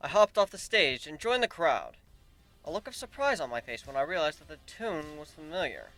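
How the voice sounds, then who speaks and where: A man's voice: fairly high pitch, animated, normal speed, fairly bright tone, faint music behind. A man giving a dramatic first-person reading of a story as its narrator. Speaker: narrator of a fan fiction reading.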